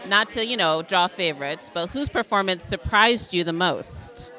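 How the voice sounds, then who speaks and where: Female interviewer, very bright medium-pitched voice, encouraging, slight crowd murmur behind. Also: moderate pace. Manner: encouraging, affirming. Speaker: interviewer, host. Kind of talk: interview hosting. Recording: light crowd chatter behind the voice.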